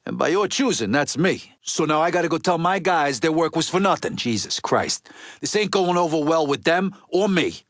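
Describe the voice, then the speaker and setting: A man's voice: fairly high pitch, animated, moderate pace, a bit bright, no room sound. Game mobster: middle-aged Italian-American tough guy, East Coast accent, street-smart, swearing freely, dry studio sound. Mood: resentful, firm. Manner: blunt, profane, street-tough wiseguy talk. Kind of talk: video game character dialogue.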